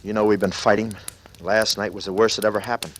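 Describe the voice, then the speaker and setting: Actor as low-voiced haunted man, old radio drama. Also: level tone, quick pace, lightly acted. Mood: haunted, grieving. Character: brooding guilty man, haunted and slyly unhinged. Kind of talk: 1940s radio drama acting. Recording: noisy old radio recording.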